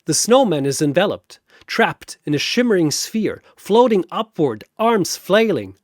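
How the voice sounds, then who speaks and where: A man narrating a scripted comic fantasy battle in a theatrical, deadpan manner. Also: sits high, a little slow, no room sound, clean studio sound.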